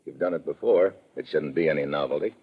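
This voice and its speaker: A man playing a tough, wisecracking undercover investigator in 1950s radio drama, husky, blunt and sardonic, on a dull old radio recording. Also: extremely low pitch, quick pace. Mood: hard-boiled accusation. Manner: hard-boiled, sardonic, blunt.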